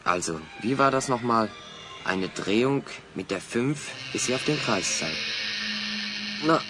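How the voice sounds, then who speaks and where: A man's voice: medium pitch, lively intonation, a little slow, over music, natural voice. A man playing a bold, resourceful adventurer hero in a German children's audio drama, eagerly explaining his plans. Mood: determined, explaining.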